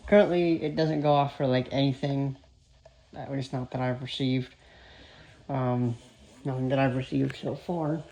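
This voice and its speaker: A man giving an informal, halting show-and-tell narration as a radio hobbyist. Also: mid-range voice, steady tone, dark tone. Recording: clearly noisy with clicks. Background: weather radio playing.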